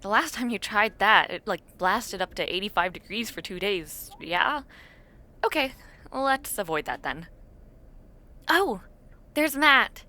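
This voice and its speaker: A woman's voice: mid-range voice, expressive, unhurried, long pauses, pushed and bright. A woman playing an awkward, imaginative young university dormmate in a casual, intimate audio roleplay, chatting in a hesitant, self-deprecating way. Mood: cosy, friendly.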